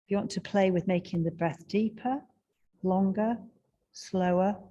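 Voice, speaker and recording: A woman guiding a breathing meditation in a slow, soft and soothing way, with long pauses. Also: mid-range voice, fairly even intonation, dull audio. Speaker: meditation guide.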